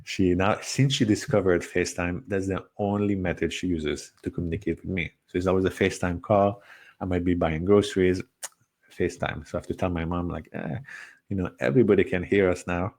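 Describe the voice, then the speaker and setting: A man with a low voice and a Hungarian accent carrying a hint of French talks through an online training session. He is friendly and conversational, often restarting phrases and filling pauses as he goes. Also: fairly even intonation, darkish tone. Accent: Hungarian with a slight French tinge. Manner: friendly, conversational, with frequent fillers and self-corrections. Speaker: cross-cultural coach and trainer. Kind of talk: webinar presentation.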